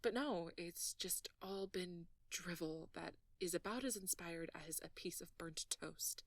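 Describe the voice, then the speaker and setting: A woman playing a nerdy, sleepy, flustered and playfully teasing girlfriend in an intimate ASMR roleplay, speaking softly and haltingly, often near a whisper. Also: lowish voice, no echo, recorded from a distance. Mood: sheepish, weary. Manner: halting, bashful, conversational.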